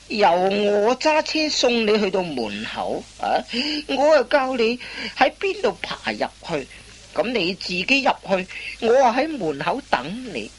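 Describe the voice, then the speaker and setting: A woman playing a sly old thief, slow drawl. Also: mid-range voice. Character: sly, boastful old veteran thief mentoring an apprentice. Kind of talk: radio comedy drama acting.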